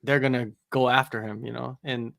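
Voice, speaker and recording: A man talks about fighters in a relaxed, rambling way, packing his speech with "you know" and "like." His voice has a gravelly edge. The sound is dry and close to the mic. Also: medium pitch, a little fast, no echo. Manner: laid-back, rambling with many fillers. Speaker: MMA interviewer and podcast co-host. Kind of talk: casual chat on a livestream.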